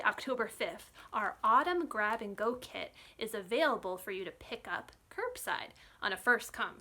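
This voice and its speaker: A woman, a children's librarian, reads a picture book aloud to young children in an expressive storytime manner, putting questions to the listeners. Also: fairly high pitch.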